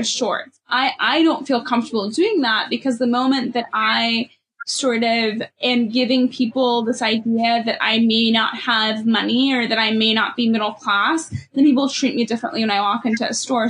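A woman's voice: fairly high pitch, a bit bright, a little hiss or hum. A young adult woman from Ethiopia with a California Valley girl accent, reflecting candidly as a guest and filling her talk with "like" and "you know". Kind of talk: candid personal conversation.

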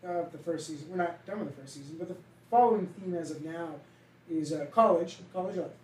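A man's voice: on the high side, quick pace. A young man with a heavy Boston accent, co-hosting a casual podcast chat and rambling loosely with some swearing. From Massachusetts. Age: young adult. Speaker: podcast co-host. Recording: roomy, distant from the mic, faint ambient noise.

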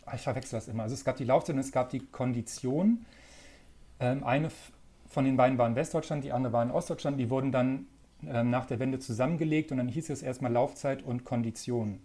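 A man in his thirties from northern Germany, a magazine editor answering podcast questions in a relaxed way, thinking aloud with many fillers. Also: mid-range voice, a little fast. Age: 30s. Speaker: magazine editor-in-chief, guest. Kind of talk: casual podcast interview.

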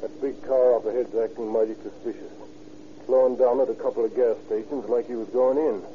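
A man's voice: mid-range voice, fairly even intonation, lightly acted. A man playing a folksy patrol cop, tinny radio. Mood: suspicious. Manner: brisk, folksy. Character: male police officer in a patrol car, suspicious and eager. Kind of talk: 1930s radio drama acting. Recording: tinny, hissy old radio recording.

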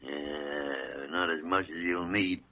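An actor playing a gruff, devoted old senior doctor in a vintage radio drama, with a blustery, exasperated manner. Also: male voice, a bass voice, steady tone, takes it slowly, a little hiss or hum, heavily acted. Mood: anxious, urgent. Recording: muffled old radio recording.

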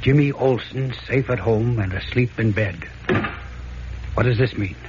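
An actor as the urgent narrator of a 1940s radio serial, delivering scripted lines in a dramatic, emphatic style. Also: male voice, mid-range voice, a little slow, close to an everyday voice. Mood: dramatic, suspenseful. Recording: muffled, noisy old radio recording.

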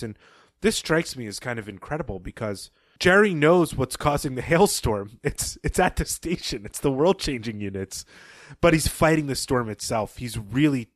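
Low-voiced man recapping a story. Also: no echo, clean studio sound. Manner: thoughtful, recapping plot with pauses and restarts. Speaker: podcast co-host. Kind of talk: casual book discussion.

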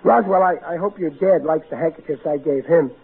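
A man's voice: fairly high pitch, brisk, husky. An actor playing a blustering, folksy working-class family father with a Brooklyn accent in 1940s radio comedy, on a tinny recording. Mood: cheerful, proud. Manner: folksy, ungrammatical, easily flustered.

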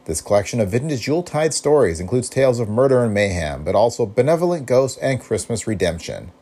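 A man's voice: medium pitch, sing-song, fairly quick, dark tone, husky. A man hosting a podcast, reading a scripted introduction. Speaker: podcast host.

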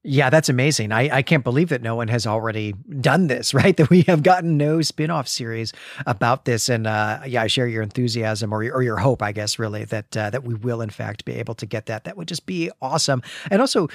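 A man's voice: mid-range voice, a little fast, no room sound, clean studio sound. A man co-hosting a podcast discussion, explaining things with enthusiasm and often checking in with "right?". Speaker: podcast co-host. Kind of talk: podcast discussion with prepared recap.

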